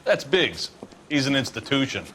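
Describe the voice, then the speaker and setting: An actor playing a matter-of-fact senior American police officer showing a rookie around in a video game. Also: male voice, mid-range voice, very animated, normal speed, fairly bright tone, a little hiss or hum. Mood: brisk, informative. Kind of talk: expository video game acting.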